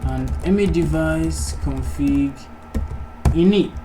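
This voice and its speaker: A man's voice: fairly high pitch, slow, music in the background. A man narrating an informal coding tutorial, casually thinking aloud as he works through each step. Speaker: programming tutorial host. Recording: clearly noisy recording, keyboard typing. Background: background noise, computer keyboard typing.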